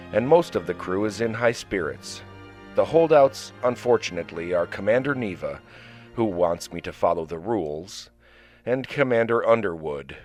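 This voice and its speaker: An actor playing a self-assured starship captain recording a log in a science fiction audio drama, measured and dryly wry. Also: male voice, sits low, darkish tone.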